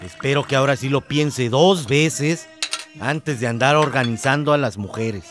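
A man's voice: mid-range voice, normal speed, over music, lightly acted. An actor playing a smug, domineering village man in a radio soap opera.

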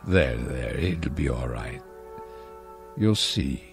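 An actor playing a frail, stubborn but loving elderly husband in a radio drama, with halting, faltering speech. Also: male voice, a bass voice, lively intonation, music in the background, full character voice. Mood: pained, insistent. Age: older.

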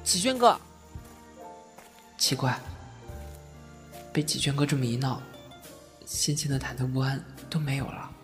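A man's voice: medium pitch, animated, measured pace, long pauses, over music. A man playing an earnest, modest young novice actor in an audio drama, sincere and deferential, with roomy echo. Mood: relieved. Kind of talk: Chinese audio drama acting.

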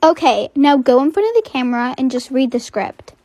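A woman voicing a brisk, polite film director giving instructions. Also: sits high, lively intonation, a little mellow, no room sound. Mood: businesslike.

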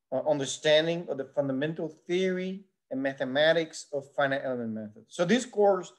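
Male engineering lecturer. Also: a little high. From Puerto Rico. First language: Spanish. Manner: measured explanatory delivery with frequent "uh" fillers. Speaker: university instructor. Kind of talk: recorded course lecture.

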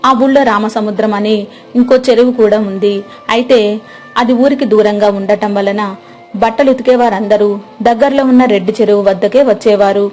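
A woman narrating a moral story and voicing the village wife, in a gentle, plain, homely way. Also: medium pitch, fairly even intonation, fairly quick, soft background music.

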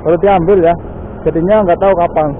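A man talks casually as he rides a motorbike, scattering little fillers through every sentence. His voice sits high and sounds thin and phone-like. Faint traffic hums underneath. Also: fairly even intonation, fast talker. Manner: chatty, lots of "ya" and "guys" fillers. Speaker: motovlog host. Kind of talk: casual chat while riding. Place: riding a motorbike on city streets outdoors.

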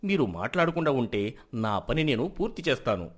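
A man voicing a stern but fair village elder who judges disputes in a moral story, measured and authoritative. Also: medium pitch, very animated, husky. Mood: calm, firm. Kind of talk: dramatised moral story acting.

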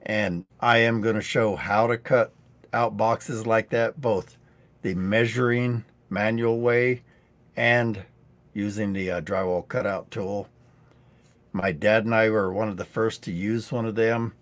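A man in his sixties hosting a live DIY training stream, going a bit hoarse, explaining tricks in a dry-humored, rambling way on a headset mic indoors. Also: lowish voice, fairly even intonation, unhurried. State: getting hoarse. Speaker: DIY channel host. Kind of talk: live-stream teaching chat. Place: indoor studio set.

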